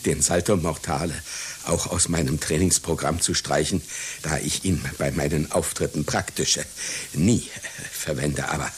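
An actor playing a proud, scatterbrained elderly circus clown in a radio satire, speaking pedantically and formally. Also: male voice, a bass voice, fairly even intonation, normal speed, slight background noise. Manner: pedantic, formal, easily flustered and stammering. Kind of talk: satirical German radio play acting.

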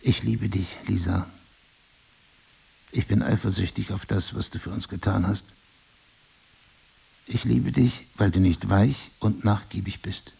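An actor playing a remorseful middle-aged husband in an audio drama, speaking in a husky, gravelly, deliberate and intimate way. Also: male voice, lowish voice, fairly even intonation, dark tone, heavily acted. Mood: earnest confession. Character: remorseful, pleading husband, brooding and passionate.

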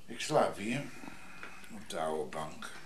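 An actor playing a hesitant, lonely, uneasy man in naturalistic radio drama dialogue, halting and tentative. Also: deep voice, expressive, a little hiss or hum. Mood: uneasy, hopeful.

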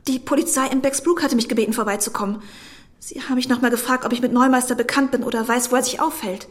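Actress as anxious devoted young woman, fairly high voice, hesitant, pleading, insistent, radio drama. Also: fairly even intonation, fast talker, natural voice. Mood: earnest, insistent. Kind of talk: German radio drama acting.

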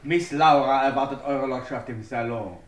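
An actor playing an obsequious, discreet manor butler in a German radio crime play, formal, apologetic and hesitant. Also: male voice, medium pitch, normal speed, natural voice.

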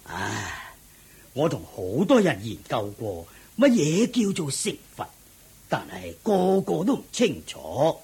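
An actor playing an anxious, doting middle-aged king and father in a Cantonese radio drama, with a Hong Kong accent and a husky voice. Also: fairly high pitch, huge pitch swings, slow pace, a little mellow, heavily acted. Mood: troubled, sighing.

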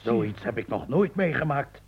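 An actor in a radio play playing a superstitious, proud sculptor with a husky voice and a flowery, dramatic way of speaking. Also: male voice, mid-range voice, animated, normal speed. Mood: alarmed. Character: florid male sculptor, proud but superstitiously fearful. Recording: dull old radio recording.

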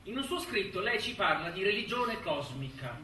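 An insinuating lawyer conducting a formal interrogation in a stage drama. The voice is high and very tense, with pointed, insistent delivery. It sounds distant from the microphone, in a reverberant room. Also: male voice, big pitch swings, moderate pace, bright, forceful tone, exaggerated voice. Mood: sardonic, probing. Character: insistent, insinuating male lawyer cross-examining a defendant. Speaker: stage actor. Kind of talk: theatrical courtroom interrogation. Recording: roomy stage sound, distant mic, faint noise.

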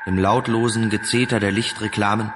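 A man's voice: on the low side, fairly even intonation, normal speed, background noise. A man reciting poetic scripted prose as a narrator in an audio drama.

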